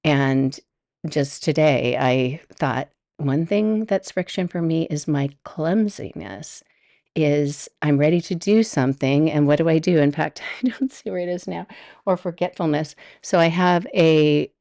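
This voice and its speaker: A middle-aged woman talks through her solo podcast from home, rambling easily and laughing as she goes. Her voice sits low with a gravelly, mellow edge. The sound is close and dry. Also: measured pace, no echo. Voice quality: deep voice. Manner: chatty and rambling, laughs often. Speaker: podcast host. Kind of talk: casual solo podcast monologue. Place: home room.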